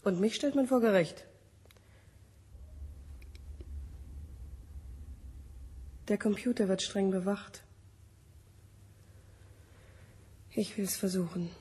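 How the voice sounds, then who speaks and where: Dark, husky female colleague, terse, shaken yet tough, 1970 radio drama. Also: mid-range voice, normal speed. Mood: indignant. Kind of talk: German radio play acting, 1970.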